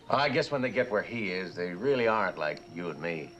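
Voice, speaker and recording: Chatty bartender, low, lively pitch. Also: male voice, moderate pace, highs cut off, soft background music. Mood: chatty, amused. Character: chatty, genial veteran hotel bartender. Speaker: actor. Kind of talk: mid-20th-century suspense drama acting.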